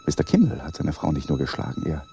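An actor playing Walter, an uneasy and suspicious husband, in a 1980s German radio play, with brooding inner monologues. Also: male voice, very high-pitched, wildly swooping pitch, fast, mellow, slight background noise, exaggerated voice.

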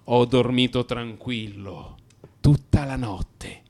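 An actor playing a troubled, melancholy man in crisis in a radio drama monologue, brooding and introspective, blaming himself in halting, repeated phrases. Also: medium pitch, darkish tone, natural voice. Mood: detached, confessional.

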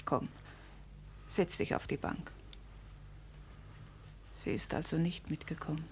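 An actress playing an anxious, careworn poor cottager's wife in a 1950s German radio play, with a plaintive, worried manner. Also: female voice, sits low, steady tone, slow pace, a little mellow, a little hiss or hum. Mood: distraught. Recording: vintage 1950 radio recording.

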